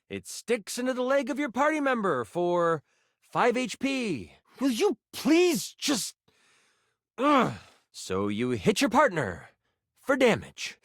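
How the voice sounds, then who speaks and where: A man's voice: sits high, no room sound, studio quality, full character voice. An actor playing a living twenty-sided die in a video game, a theatrical, nerdy male game master who narrates adventures and banters. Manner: theatrical, swinging from exasperated outbursts to hushed storytelling.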